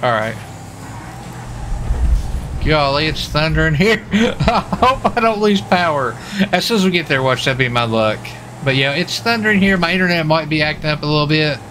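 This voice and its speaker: A man in casual, halting game role-play chat, playing a US marshal as he streams. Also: a little high, poor audio. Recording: steady background noise, game vehicle sounds. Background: game vehicle and ambient sounds.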